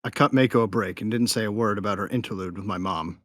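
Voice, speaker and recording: An actor playing a sardonic, hot-tempered young bounty hunter who narrates an audio drama in a wry, informal way. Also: male voice, fairly low pitch, quick pace, a bit bright, no echo, clean studio sound, natural voice. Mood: wry, watchful. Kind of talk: first-person scripted narration of an audio drama. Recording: music behind the voice.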